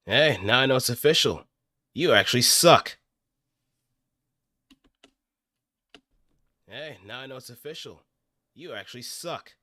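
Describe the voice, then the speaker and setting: A man's voice: medium pitch, lively intonation, normal speed, sharp tone, no echo, studio quality, voice pushed up. A man voicing a cocky, taunting mecha pilot from a robot war game in a mocking manner. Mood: scornful. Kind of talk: game battle-line acting.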